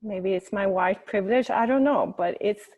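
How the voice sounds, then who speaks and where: A woman from Sweden with a Swedish accent, speaking English as her second language, speaking up haltingly in an online meeting and searching for her words. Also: mid-range voice, narrow, tinny audio. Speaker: webinar participant. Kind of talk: hesitant spoken comment in an online meeting. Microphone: video call.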